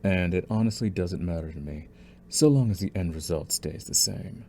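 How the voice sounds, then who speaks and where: A man performs a young mafia heir in an ASMR drama, possessive and scheming. The voice sits very low and moves deliberately, with smug, mock-polite menace. Faint noise sits in the background. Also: vocal fry, dark tone. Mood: coolly coaxing. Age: young adult. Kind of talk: ASMR roleplay acting.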